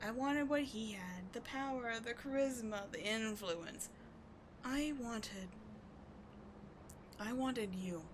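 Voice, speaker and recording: A woman's voice: a little high, slow pace, pauses often. A woman playing a bitter, wounded villain who secretly cares, sardonic and exasperated, in a dramatic ASMR audio roleplay. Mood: anguished, confessional. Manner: sardonic, exasperated, emotionally intense. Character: bitter, wounded female villain secretly caring for rival sidekick. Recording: clearly audible background noise.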